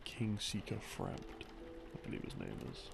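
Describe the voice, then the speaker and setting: Low, very gravelly man rambling softly to sleep. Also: very animated. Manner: halting, unhurried, musing, trailing off. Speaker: audio creator playing a rambling boyfriend. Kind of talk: sleep-aid ASMR ramble, explaining from memory. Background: soft background music.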